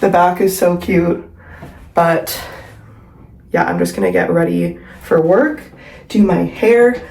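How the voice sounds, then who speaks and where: A woman's voice: fairly low pitch, slow, vocal fry, long pauses, a little mellow, low-quality recording. Congested young woman chatting casually. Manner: chatty, rambling, frequent "like" and "um". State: congested, recovering from a cold. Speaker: vlogger and pilates instructor. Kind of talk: casual vlog talk to camera. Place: at home.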